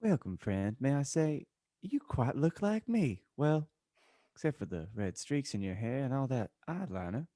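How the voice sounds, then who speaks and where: A man's voice: medium pitch, big pitch swings, normal speed, warm tone, dry recording, clean studio sound. Man putting on a pious, polite Southern boy. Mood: friendly. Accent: American Southern. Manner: overly courteous, wholesome.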